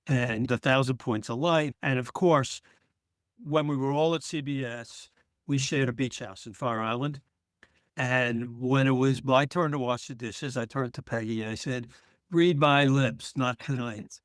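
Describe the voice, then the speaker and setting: Older man, mid pitch, dry sound, casual anecdotes, wry timing, interview guest. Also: fairly even intonation, measured pace, no echo. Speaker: guest, former broadcast news engineer.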